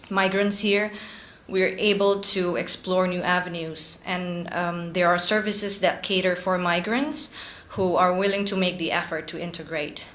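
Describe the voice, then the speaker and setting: A woman's voice: lowish voice, steady tone, unhurried, a bit bright, roomy sound. A woman in her thirties from Indonesia, giving an earnest prepared speech at a club meeting, with frequent ums. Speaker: guest speaker.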